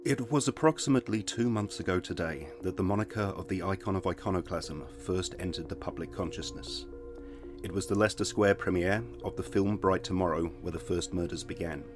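An actor playing a sober male television reporter, narrating a scripted crime report in a serious, measured way. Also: low voice, lightly acted. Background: music bed.

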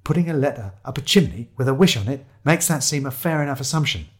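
A man plays a jumpy sidekick and hero of an audio story. He is wry, colloquial and ready to argue, in an animated voice of middling pitch. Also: quick pace, lightly acted. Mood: grudging, wry. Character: jumpy, argumentative narrator hero, Shiloh's sidekick.

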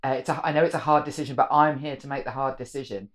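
A woman's voice: steady tone, very fast. A woman politician, talking frankly in a casual podcast chat. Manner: frank, swears casually, says she has a deep voice for a woman.

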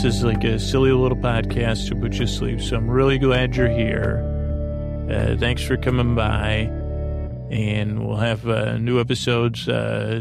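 Male bedtime podcast host: gravelly, flat-pitched, lulling, with meandering hesitant introductions. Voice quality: creaky. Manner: meandering, hesitant, lulling delivery with self-corrections.

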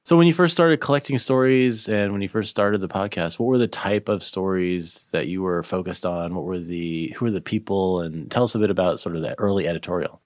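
A man's voice: low voice, fairly quick, hardly any pauses, dry recording, studio quality. A man hosting an interview, musing aloud in a casual, rambling way with many fillers. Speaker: interviewer, host. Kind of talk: conversational interview.

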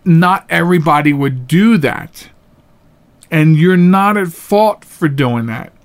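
A male podcast host with a slightly high voice talks informally and solo to listeners. His delivery is earnest and emphatic, and he often repeats a phrase to stress it. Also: lively intonation, takes it slowly.

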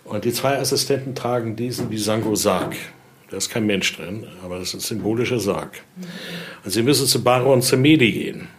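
A man with a fairly low voice talks as a guide who knows the subject well. He explains things in an informal, spoken way and often ends a point with a brief tag question. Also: fairly quick, a little hiss or hum. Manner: frequent tag questions seeking agreement. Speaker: expert guide showing a collection.

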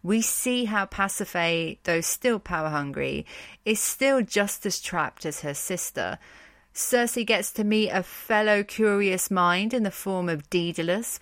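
A woman's voice: medium pitch, a bit bright, no room sound. A woman hosting a podcast, giving conversational commentary with wry, informal asides. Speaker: podcast host and storyteller. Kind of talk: conversational commentary on myth and books.

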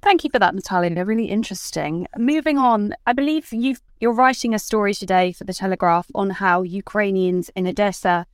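A woman hosting a news podcast, asking polite, measured questions. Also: medium pitch, no room sound, clean studio sound. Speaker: podcast host.

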